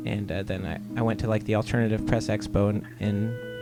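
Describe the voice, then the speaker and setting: A man from Ohio, a comic artist guest, giving relaxed, rambling interview answers with lots of "uh"s. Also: lowish voice, a little fast, over loud music. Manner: laid-back, rambling with many "uh"s.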